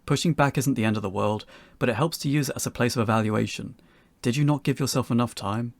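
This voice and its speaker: A man's voice: medium pitch, fast, gravelly, no room sound. A man narrating a scripted tutorial in an articulate way with wry phrasing. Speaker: game developer giving a tutorial.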